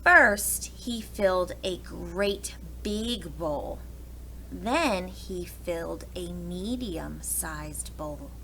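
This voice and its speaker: A woman reading a storybook aloud to young children in an expressive way, pausing to explain things to them. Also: on the low side, vocal fry, slight background noise. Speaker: preschool read-aloud teacher.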